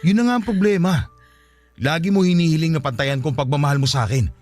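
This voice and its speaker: Quick, exasperated young Filipino father played by an actor. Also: fairly high pitch, over music, close to an everyday voice. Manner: blunt, defensive. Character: man leaving his partner, blunt, exasperated young father. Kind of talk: Filipino radio drama acting.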